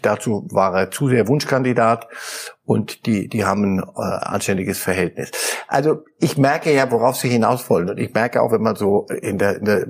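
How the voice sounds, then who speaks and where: A middle-aged man with a husky, slightly breathy voice, giving his view as a football pundit on a talk show. He speaks thoughtfully and haltingly, often starting a phrase twice before finding the word. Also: mid-range voice. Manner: thoughtful, halting, repeats words while searching. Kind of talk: studio talk show discussion.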